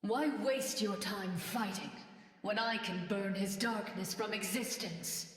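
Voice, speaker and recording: A woman's voice: mid-range voice, takes it slowly, natural voice. Game voice: woman as a solemn cosmic fire entity, big reverb tail. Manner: solemn, commanding, otherworldly. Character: cosmic Phoenix Force speaking through a woman. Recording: roomy reverb.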